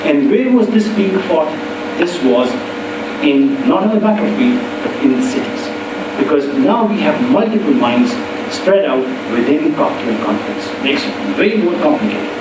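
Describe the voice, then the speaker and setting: A man with a foreign accent lectures in a large hall, his fairly high voice rising and falling in a lively way. He is heard from a distance, with heavy room echo and a steady hum of air behind him. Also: normal speed. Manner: lively explaining with wry asides. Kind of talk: university public lecture. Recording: echoey hall from far off with hum.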